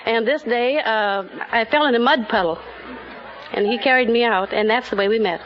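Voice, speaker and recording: A woman's voice: on the high side, normal speed, a faint crowd. A woman answering as a contestant on an old radio quiz, in unscripted talk. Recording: noisy muffled 1950 radio broadcast. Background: studio audience.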